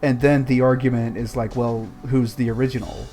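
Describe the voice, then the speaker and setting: A man on a casual podcast, relaxed as he walks through a story he remembers. His voice sits at a middle pitch. Faint music runs under him. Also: a little fast. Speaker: podcast co-host. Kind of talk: casual chat, retelling a story.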